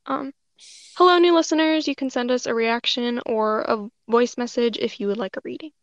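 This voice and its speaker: A woman with a high voice co-hosting a casual live audio chat as a tarot reader, explaining things hesitantly. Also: normal speed, no room sound, muffled recording.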